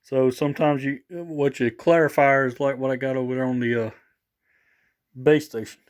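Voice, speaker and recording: A man casually showing off gear for a vlog, rambling and halting as he repeats himself. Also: mid-range voice, steady tone. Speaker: vlogger showing radio gear. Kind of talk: casual product showcase.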